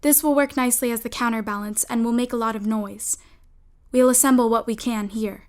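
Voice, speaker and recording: A woman's voice: fairly high pitch, steady tone, fairly quick, a little mellow, a little hiss or hum, natural voice. A woman playing a commanding, coaxing older sister, a scheming girl from an old-fashioned household, in an audio drama. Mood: bossy, conspiratorial.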